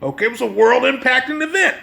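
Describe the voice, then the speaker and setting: A man's voice: very high voice, animated, fast talker, creaky, sharp tone. An American man giving an impromptu sermon as a religious teacher, emphatic and exhortative, often checking that listeners understand. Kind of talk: impromptu sermon with brief reading.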